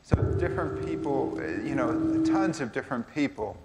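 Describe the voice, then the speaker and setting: A man's voice: medium pitch, animated, normal speed, heavy background noise. A man telling a saint's story casually, rambling with fillers, in a church skit. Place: church sanctuary. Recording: echoey church hall.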